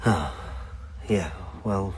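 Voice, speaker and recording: A man's voice: low-pitched, very slow. An earnest young man, noisy recording. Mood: uneasy, sincere. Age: young adult. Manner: hesitant, naive, earnest. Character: earnest, bewildered young man, volunteer in a medical trial. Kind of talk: radio drama acting.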